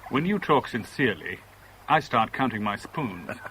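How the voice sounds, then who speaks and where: A man's voice: mid-range voice, very animated, normal speed, lightly acted. An actor playing a bitter, lovelorn man in a 1970s radio drama, sardonic and clipped. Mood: guarded, sardonic. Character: bitter, lovelorn man caught in a love rivalry. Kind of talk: 1970s British radio drama acting with narration. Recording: noisy old radio broadcast recording.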